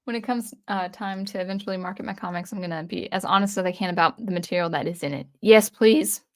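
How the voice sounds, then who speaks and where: A woman's voice: mid-range voice, quick pace, a little mellow. A woman from the US Northwest hosting a casual livestream, reading viewer comments aloud and answering them in a chatty way with frequent restarts. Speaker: livestream host.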